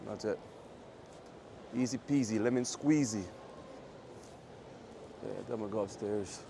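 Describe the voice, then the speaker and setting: A man with a low voice chatting casually and rambling to his livestream viewers as he walks through an airport terminal. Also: measured pace. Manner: rambling, joking, talks quietly. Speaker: IRL livestreamer. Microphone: phone or camera carried while walking. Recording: noisy phone livestream audio.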